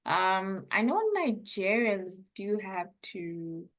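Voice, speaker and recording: A woman's voice: mid-range voice, slow, fairly bright tone. A young woman from Zimbabwe, a former international student, chatting casually and hedging her points with frequent laughs.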